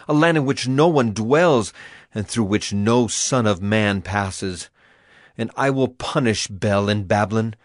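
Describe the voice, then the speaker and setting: A man's voice: medium pitch, no echo, clean studio sound, lightly acted. A man playing a solemn prophet proclaiming divine wrath in a declamatory, dramatized scripture reading.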